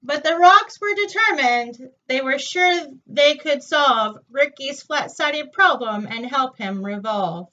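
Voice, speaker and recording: High-voiced woman reading slowly, far from mic. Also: lively intonation, sharp tone. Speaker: storytime reader. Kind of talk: children's picture book read-aloud. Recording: dull, lo-fi, distant microphone.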